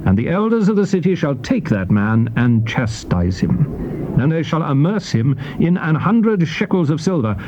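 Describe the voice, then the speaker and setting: A British man narrating scripture in a measured, formal, declamatory style on a dull, noisy old cassette recording. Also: on the high side, very animated. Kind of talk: solemn dramatized Bible reading.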